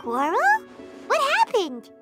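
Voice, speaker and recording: Tiny childlike fairy, squeaky sky-high voice, wildly animated, excitable, blunt, video game character, music behind. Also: female voice, slow pace, exaggerated voice. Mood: curious. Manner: exclamatory, refers to self in third person.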